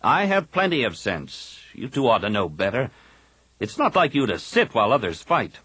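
A man voicing a defiant young male Jedi pilot, with an insistent, forceful delivery. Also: mid-range voice, wildly swooping pitch, moderate pace, husky, dry recording, voice pushed up, full character voice. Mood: resolute.

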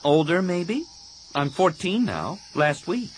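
Man as teenage girl, audiobook, raised pitch, very lively intonation, sarcastic, blunt, feisty, stubborn. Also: takes it slowly, a little hiss or hum. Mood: teasing, blunt. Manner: sarcastic, challenging, sharp questions. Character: feisty fourteen-year-old girl, blunt, teasing and stubborn.

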